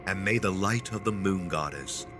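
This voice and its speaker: An actor playing a solemn mystical guardian of sacred grounds in a video game, speaking gravely and ceremoniously. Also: male voice, sits low, moderate pace, music in the background, lightly acted. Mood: solemn, benevolent. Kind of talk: dramatic video game acting.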